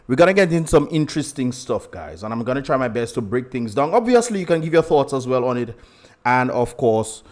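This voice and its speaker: A young man with a Dominican accent hosting a casual livestream, chatty and laughing often as he greets viewers. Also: mid-range voice. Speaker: livestream host.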